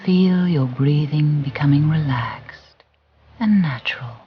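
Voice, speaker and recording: A soothing, gentle voice guiding a relaxation and visualisation exercise, deliberate and with long pauses. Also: medium pitch, a little hiss or hum. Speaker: guided relaxation instructor. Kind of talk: guided visualisation narration. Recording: muffled recording with music behind. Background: background music.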